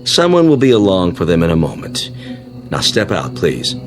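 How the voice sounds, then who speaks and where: A man playing a menacing government agent in a radio drama, with measured, mock-courteous speech. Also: sits low, huge pitch swings, music in the background. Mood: smug menace. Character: menacing, coolly polite armed male government agent.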